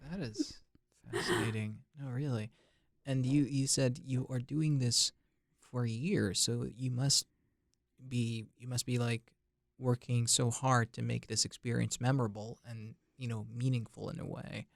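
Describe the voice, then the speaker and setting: A male podcast host asks friendly questions, stumbling through restarts and laughing easily. His voice has a gravelly fry, recorded close and dry with no room sound. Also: medium pitch, fairly even intonation, unhurried, no room sound. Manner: hesitant restarts, laughs a lot, encouraging. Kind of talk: casual interview chat.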